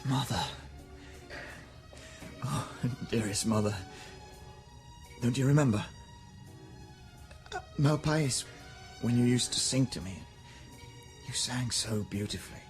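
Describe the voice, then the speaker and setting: A man's voice: mid-range voice, takes it slowly, pauses often, natural voice. An actor playing a grieving young man at his mother's deathbed in a radio drama, pleading and emotional. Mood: tender. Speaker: the Savage. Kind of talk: 1990s radio drama acting. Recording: radio drama with music underneath.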